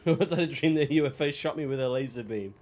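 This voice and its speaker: A man's voice: a little high, quick pace, a little mellow. A man livestreaming a video game, chatting casually and telling rambling anecdotes with dry asides. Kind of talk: casual chat while playing a video game.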